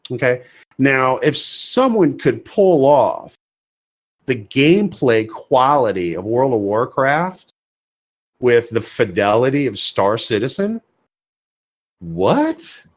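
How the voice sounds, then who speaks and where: A man's voice: medium pitch, animated, slow pace. A man co-hosting a podcast in an opinionated, casual discussion, emphatically asking and answering his own questions. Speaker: podcast co-host.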